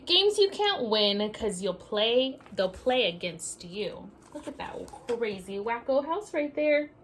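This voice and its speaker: A woman teacher doing an expressive children's read-aloud, speaking directly to her young listeners in an animated way. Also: on the high side, slow pace, a little hiss or hum. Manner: animated, addresses children directly with questions and asides. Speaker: teacher reading a story aloud to young pupils.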